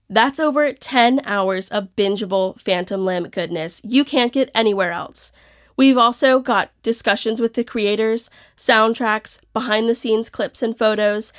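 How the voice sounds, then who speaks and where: A woman announcer delivers a scripted promotional outro for a podcast. Her voice sits a little higher than most women's, and she reads it as prepared copy.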